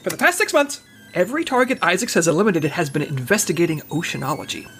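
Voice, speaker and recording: Mission briefer: earnest, fussy, precise, formal, with a very lively range and faint background noise. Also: female voice, lowish voice, quick pace. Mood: officious. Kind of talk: comedic audio drama acting.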